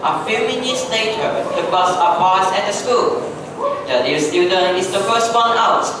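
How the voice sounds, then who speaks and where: A woman's voice: medium pitch, moderate pace. A young woman reading out scripted narration for a staged readers' theatre story. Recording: very echoey hall, distant mic, noisy.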